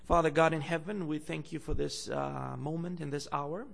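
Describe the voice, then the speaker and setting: A young man from Bulgaria preaches as a guest in a church. His voice sits a little high and has a gravelly edge. He hesitates often with fillers, then swells louder over scripture. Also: fairly even intonation, moderate pace. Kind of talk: sermon.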